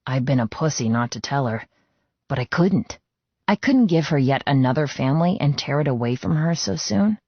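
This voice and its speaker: A man's voice: medium pitch, lively intonation, moderate pace, no room sound, muffled recording. A man reading first-person fiction as a dramatic, scripted audiobook narration.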